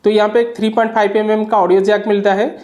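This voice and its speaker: A man's voice: high voice, level tone, fast talker, darkish tone. A man giving a product demonstration and review, explaining plainly step by step.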